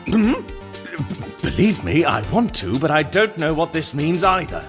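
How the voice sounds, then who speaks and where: Game role: haughty male prosecutor , acted by a man, polished and highly animated, soft music behind. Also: measured pace. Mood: flustered. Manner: formal, haughty, theatrical. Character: smug, polished male prosecutor, easily flustered. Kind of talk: video game fan voice acting.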